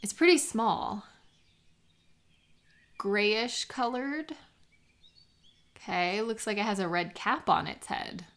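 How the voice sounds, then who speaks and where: Woman playing a chatty, warm best friend. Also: medium pitch. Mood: curious, delighted. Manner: chatty, gently encouraging, hesitant little pauses. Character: warm, teasing female best friend out bird watching. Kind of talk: lighthearted intimate audio roleplay, one-sided conversation.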